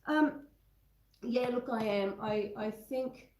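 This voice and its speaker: A woman's voice: fairly high pitch, slow pace, darkish tone, reverberant. An Australian woman hosting a homesteading livestream, chatting casually with viewers and answering their comments, her nose still a little blocked up. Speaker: homesteading channel host. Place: indoors at home in a roomy space.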